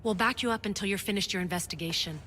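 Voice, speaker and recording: Game role: supportive female team therapist, played by a woman, clear-cut bright tone, steady and caring. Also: medium pitch, fast talker, a little hiss or hum, natural voice. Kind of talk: video game cutscene acting.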